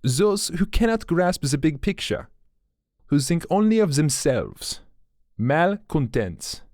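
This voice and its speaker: A man voicing an older gray-haired French male enforcer with a French accent, courteous and measured with veiled threats. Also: fairly high pitch, big pitch swings, a little mellow, no echo, studio quality, pitched above the performer's own voice, full character voice. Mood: silkily menacing.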